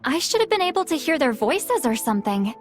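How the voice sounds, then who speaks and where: An actress voicing a laid-back, quietly confident young woman medium in a game, with a languid, casual delivery. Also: high-pitched, big pitch swings, brisk. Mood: puzzled. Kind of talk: scripted video game character dialogue. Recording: game music behind the voice.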